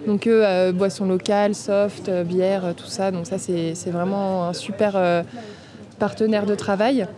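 A woman's voice: mid-range voice, flat delivery, measured pace, dark tone. A young woman, co-manager of a restaurant, talking in a casual radio interview on an outdoor terrace, with many fillers and restarts. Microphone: table mic on stand.

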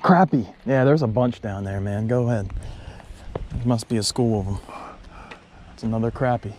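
Excitable fishing vlogger man outdoors. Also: normal speed. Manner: excitable, gets loud and high when fish bite. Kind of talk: casual outdoor commentary. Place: outdoors by a lake below a dam. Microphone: head-mounted action camera. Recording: faint outdoor noise.

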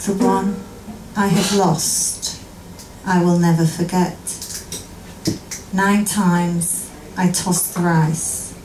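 A woman singer giving soft-spoken spoken introductions between songs at a live gig in a small indoor cafe, into a stand mic, filmed from across the room. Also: on the low side, little pitch movement, very slow, long pauses, reverberant, recorded from a distance, a little hiss or hum, rough recording. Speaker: singer and keyboard player. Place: small cafe venue indoors, brick wall. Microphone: vocal mic on a stand, filmed by a far camera.

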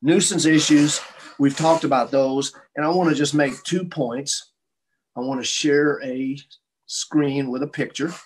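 A man's voice: fairly high pitch, steady tone, measured pace, breathy edge. An older man giving prepared testimony at a town hall over a video call from a room at home. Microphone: computer mic on video call.

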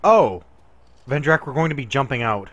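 Jokey gamer guy with a lively voice. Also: mid-range voice, normal speed, no room sound. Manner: jokey, rambling, laughs often, swears. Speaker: gameplay commentator. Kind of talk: casual gameplay chat with a friend.

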